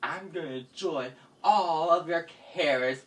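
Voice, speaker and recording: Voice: mid-range voice, unhurried, a bit bright, close to an everyday voice. A storyteller voicing a greedy, gleefully villainous male bunny who gloats and repeats words for emphasis. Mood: gloating, greedy. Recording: distant mic, faint background noise.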